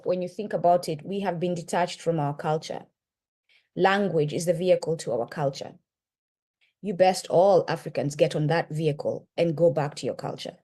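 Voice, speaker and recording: Passionate Kenyan woman lecturing. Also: darkish tone. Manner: emphatic, rising pitch on key points, frequent "right?". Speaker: podcast co-host presenting. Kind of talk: impassioned lecture-style talk.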